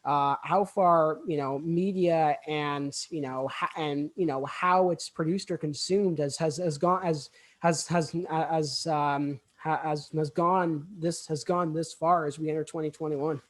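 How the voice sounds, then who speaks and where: A man's voice: a little high, fairly even intonation, measured pace, few pauses. A young man hosting a student podcast casually, stammering and repeating words as he goes. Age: young adult.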